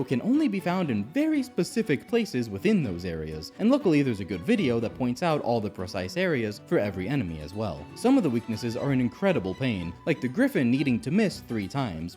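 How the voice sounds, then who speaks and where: A man with a dark, relaxed tone and very animated, swooping intonation narrates a game review over music. His delivery is scripted but conversational and frank, dropping into casual asides. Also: medium pitch. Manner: conversational, opinionated, casual swearing.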